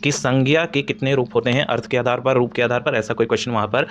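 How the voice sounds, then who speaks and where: A man's voice: mid-range voice, fairly even intonation, fast talker. A man giving an informal exam analysis to students as a coaching teacher, explaining hesitantly and repetitively with English terms mixed in.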